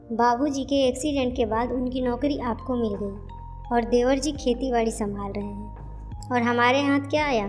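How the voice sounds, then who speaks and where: A woman's voice: high-pitched, darkish tone. A woman voicing a bitter, sarcastic young daughter-in-law who scolds her in-laws in a cartoon family story, with music behind her. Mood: angry, resentful. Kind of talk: Hindi moral-story cartoon dialogue.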